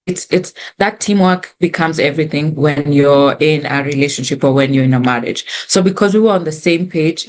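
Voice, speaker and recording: A young woman with a Kenyan accent and a lowish voice shares her story in an unhurried, earnest way. Swahili is her first language, and she often restarts phrases as she reflects. She is speaking over a video call. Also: darkish tone. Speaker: guest. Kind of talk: conversational storytelling.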